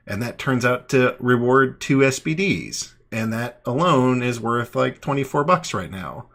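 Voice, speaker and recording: Male host, thirties, casual and chatty, mid-pitched, speaking into an online voice chat mic. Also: unhurried. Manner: relaxed, chatty, many fillers and restarts. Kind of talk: casual live call-in chat.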